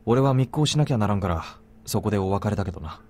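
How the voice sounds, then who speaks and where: A blunt young man in a Japanese visual novel. He talks very fast in a lowish voice, terse and matter-of-fact, protective and resolved. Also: expressive, a little mellow. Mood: firm, serious.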